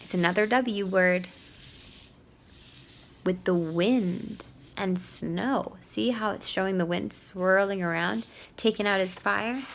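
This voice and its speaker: A woman's voice: mid-range voice, takes it slowly, gravelly, a little hiss or hum. A woman reading a storybook aloud to young children in a teacherly way, putting questions to her listeners.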